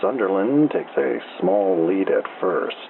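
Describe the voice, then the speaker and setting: A man playing a calm, laconic small-town baseball announcer doing unhurried play-by-play on the radio. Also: low voice, creaky, heavily acted. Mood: calm, drowsy. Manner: calm, laconic, drowsy, unruffled. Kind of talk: slow minor-league baseball radio play-by-play. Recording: dull noisy AM-style baseball radio broadcast.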